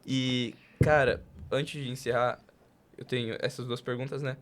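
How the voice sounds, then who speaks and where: A man's voice: mid-range voice, fairly even intonation, moderate pace. A man with a Brazilian Portuguese accent, casually hosting an interview podcast. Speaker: podcast host.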